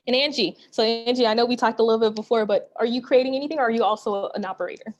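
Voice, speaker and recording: A woman's voice: fairly high pitch, steady tone, rapid-fire, lo-fi sound. A woman speaking as a guest entrepreneur on a video call, casual and chatty, encouraging the other speaker and often asking "you know what I mean". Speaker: guest speaker, startup co-founder. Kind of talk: casual conversational talk.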